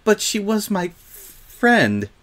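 A man voicing a young, prim pony girl who is defensive and vulnerable, speaking haltingly in a storybook. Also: high-pitched, sing-song, a bit bright, pitched above the performer's own voice, exaggerated voice. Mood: tearful, hurting. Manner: hesitant, halting. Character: haughty young filly turned sad, defensive, vulnerable.

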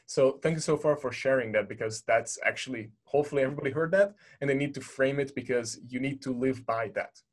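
A man's voice: medium pitch, quick pace, hardly any pauses. A man from Hungary with a Hungarian accent, hosting a casual podcast and thanking his guest often. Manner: friendly, effusive thanks, fillers. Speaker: podcast host.

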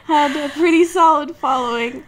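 A woman with a high voice co-hosts a podcast, chatting and reading aloud. She sounds giggly, sheepish and apologetic. Some noise sits behind her. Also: normal speed, poor audio. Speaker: podcast co-host.

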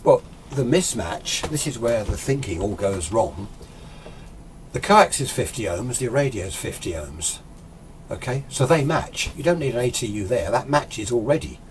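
A man's voice: mid-range voice, animated, moderate pace. A British man in his sixties giving an informal, unscripted explanation in a conversational, emphatic way, often asking rhetorical questions. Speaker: amateur radio enthusiast presenting a tutorial. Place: small indoor radio workshop full of equipment. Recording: clear background room noise.

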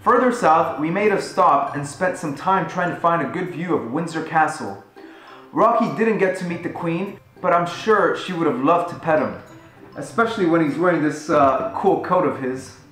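A man's voice: on the high side, moderate pace, fairly bright tone, roomy sound, faint music behind, low-quality recording. A man in his thirties, a travel vlogger, chatting casually and upbeat while he narrates a road trip from his living room. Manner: upbeat and conversational. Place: living room at home, indoors.

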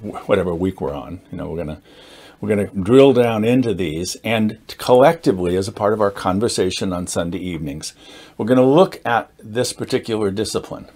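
A man in his sixties speaks in a fairly low voice, talking informally to camera as the host of a group. He explains in a measured, somewhat halting way, leaning on key words with deliberate emphasis. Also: a little mellow. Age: 60s. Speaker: meetup founder and host, teacher. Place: indoors, small studio with dark curtain backdrop.

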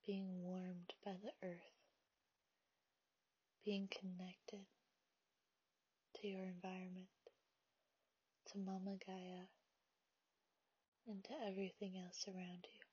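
Softly whispering woman guiding meditation. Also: mid-range voice, level tone, husky, no echo. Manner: soft, half-whispered, slow and soothing. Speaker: meditation guide. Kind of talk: guided meditation. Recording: dull lo-fi dry recording.

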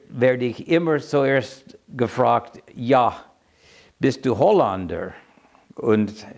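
An older man in his seventies with a clear American accent speaks in his second language about his own experience. His pace is slow, and he often pauses to search for words. The voice sits at a middle pitch, in a reflective register. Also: a little mellow. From United States. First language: English. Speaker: interviewee telling his migration story. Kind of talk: reflective personal account to camera. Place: quiet room indoors.

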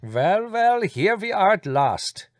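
A man voicing an excitable, earnest male guest who speaks in a pedantic, effusive, formal way. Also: medium pitch, moderate pace, no echo, clean studio sound, voice pushed up, lightly acted. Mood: excited.

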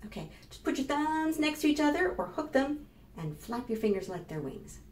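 An American woman leads storytime for young children with a fairly high, very animated delivery. Her tone is on the soft, mellow side as she explains and reads aloud clearly for little listeners. The small room adds echo, with noticeable background noise. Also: moderate pace. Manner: expressive, instructive, addressing young children with questions. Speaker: children's librarian and storytime host. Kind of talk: read-aloud and rhymes for preschoolers. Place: small plain indoor room.

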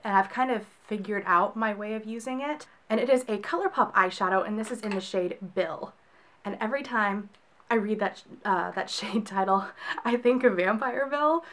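Young Texan woman vlogger, hoarse from a cold; casual, rambling chat to camera. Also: medium pitch, a bit bright. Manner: chatty, rambling, with frequent laughs. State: soft, scratchy voice from a cold. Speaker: book and beauty vlogger. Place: small room in front of bookshelves, indoors.

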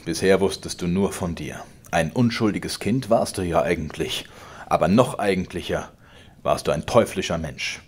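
A loud, mocking old father spits out accusations in a deep, husky voice, as a man reading aloud puts him on. He sounds triumphant and domineering in this audiobook reading. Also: normal speed. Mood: accusing. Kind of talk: audiobook character voice.

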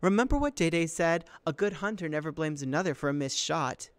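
A man's voice: on the high side, expressive, brisk, smooth, dry recording, voice pushed up, close to an everyday voice. A man voicing an indignant young girl from a storybook, an older sister taunting her brother.

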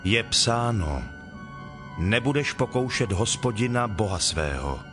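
An actor playing a calm, authoritative holy teacher in a dramatized Bible reading, speaking in a measured, solemn, declamatory way. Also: male voice, lowish voice, over music. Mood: firm, solemn. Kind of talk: dramatized Bible audio acting.

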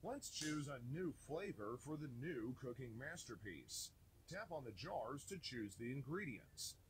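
A man's voice: medium pitch, big pitch swings, measured pace, a little hiss or hum. A man narrating a children's game from a script, giving simple instructions for young kids.

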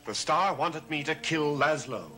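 A man's voice: on the high side, measured pace, pushed and bright. An actor in his thirties playing a brooding, intense heir haunted by a family curse in a gothic television soap. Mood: troubled. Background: dramatic music.

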